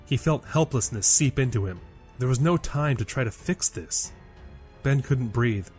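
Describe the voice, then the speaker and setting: A man's voice: mid-range voice, lively intonation, moderate pace, a little mellow, soft background music. A man reading a scripted fantasy story as a dramatic storyteller.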